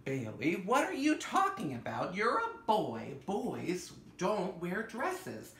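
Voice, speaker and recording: A man's voice: high-pitched, takes it slowly, full character voice. A man voicing a distracted, stern mother in a storybook, curt and dismissive. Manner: flat uh-huhs, curt scolding. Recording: roomy echo, some background noise.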